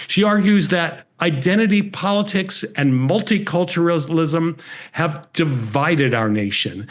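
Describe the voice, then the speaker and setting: Male host for a read-aloud guest introduction, pitched a little above average. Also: a bit bright.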